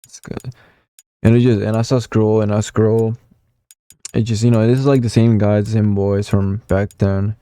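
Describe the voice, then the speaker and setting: A young man of about nineteen talks in a loose, rambling way, heavy on "like" and the occasional swear. His voice sits low and mellow, with no brightness to it. The sound is close and dry, with no room echo. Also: level tone, normal speed, clean tone. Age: young adult. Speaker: gaming vlogger. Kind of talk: casual reminiscing commentary.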